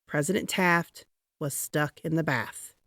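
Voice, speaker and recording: A woman narrating a children's picture book aloud in a dramatic, deliberate, expressive way. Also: low-pitched, no room sound, studio quality. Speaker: storybook reader.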